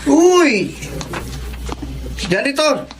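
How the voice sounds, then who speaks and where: A man's voice: very high voice, big pitch swings, very slow, slight background noise, full character voice. A man acting an arrogant, menacing young student bully in a Filipino radio drama, with a taunting manner and a Filipino accent. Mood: mocking. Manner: taunting, sneering.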